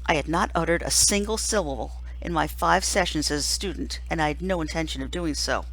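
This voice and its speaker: A woman reading a novel aloud like a teacher to listeners, in an expressive storytelling narration. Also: low voice, brisk, rough recording.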